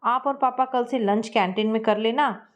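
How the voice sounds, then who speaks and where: A woman's voice: on the high side, normal speed, close to an everyday voice. A woman voicing a cheerful, frank young daughter-in-law in a family story, speaking in a chirpy, plain-spoken way.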